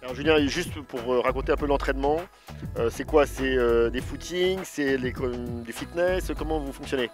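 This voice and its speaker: A man hosting an interview, asking questions casually and slowly with many fillers. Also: medium pitch, over music. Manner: slow, halting, lots of fillers. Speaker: interviewer host.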